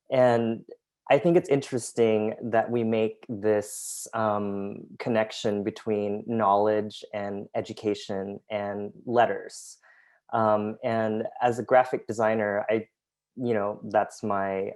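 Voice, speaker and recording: A man's voice: fairly low pitch, little pitch movement, slow pace. A man, a graphic designer and artist, presenting his work over a video call, with many hesitant fillers. His first language was Vietnamese. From United States. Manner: hesitant, many ums, soft-spoken. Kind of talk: presenting artwork in an online talk.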